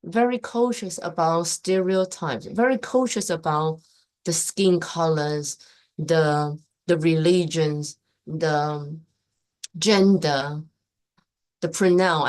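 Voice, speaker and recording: A woman's voice: fairly low pitch, a little mellow. A young woman who grew up in Taiwan, hosting a casual live stream and giving her opinions emphatically in loose English with many restarts.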